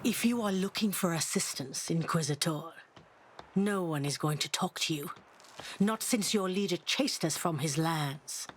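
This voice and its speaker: Slow, portentous elder seer woman played by an actress. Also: lowish voice, slight background noise, lightly acted. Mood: ominous, proud. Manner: portentous, haughty, deliberate. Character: proud, mysterious elder seer woman of travelling folk. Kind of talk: fantasy game dialogue acting.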